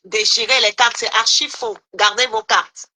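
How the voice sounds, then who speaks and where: A woman exhorts listeners on a live stream in a firm, rallying tone. Her voice is high and sharply bright. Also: steady tone, moderate pace.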